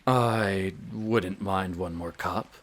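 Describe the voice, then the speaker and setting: A man's voice: sits low, drawn-out words. Haughty vampire master, a man acting. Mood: calm, indulgent. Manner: condescending, theatrical, drawling relish. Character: haughty, urbane male vampire master, coolly menacing. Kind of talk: dramatic audio roleplay narration.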